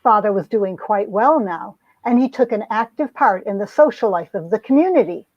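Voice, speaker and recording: A woman's voice: medium pitch, animated, normal speed, no echo, highs cut off. A woman playing a lovestruck young society heroine who narrates her romance with earnest melodrama, in an amateur comic reading. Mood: reflective. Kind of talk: dramatic reading of a 1950s romance comic, first-person narration.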